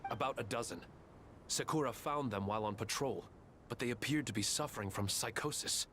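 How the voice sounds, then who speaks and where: Casting: dutiful reporting officer for a game male actor, medium pitch, matter-of-fact and respectful. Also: a little fast, a bit bright, slight background noise, close to an everyday voice. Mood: urgent, sober. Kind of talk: video game voice acting.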